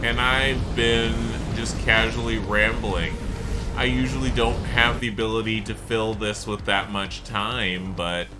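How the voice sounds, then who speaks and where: A man's voice: on the low side, fairly even intonation, a little slow. A man giving rambling, explanatory solo gameplay commentary with dry asides. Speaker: gaming streamer. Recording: loud game music behind voice. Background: loud game music and sound effects.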